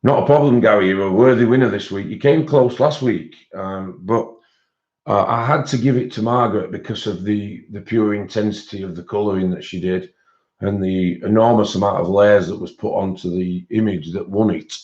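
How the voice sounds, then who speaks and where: A man with a British accent and a croaky voice, hosting a casual livestream and chatting with viewers, rambling with many fillers. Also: on the low side, normal speed, a little mellow. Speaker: livestream host. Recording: dull lo-fi stream audio.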